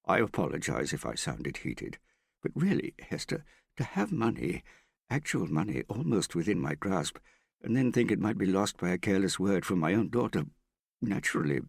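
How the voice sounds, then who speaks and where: A male narrator plays a vain middle-aged father with a gravelly, swooping voice. He talks in a pompous, affected way, wheedling and pitying himself like a character who performs every line in a storybook drama. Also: deep voice, very animated, moderate pace, dry recording, studio quality, pitched above the performer's own voice, full character voice. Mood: sulky, appealing. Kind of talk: audiobook character dialogue.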